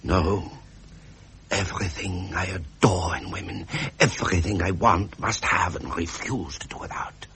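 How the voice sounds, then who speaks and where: An actor with a British accent playing a self-pitying, pleasure-loving prince in a period drama. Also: male voice, low-pitched, steady tone, measured pace, pushed and bright, a little hiss or hum, exaggerated voice. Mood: tender, smooth.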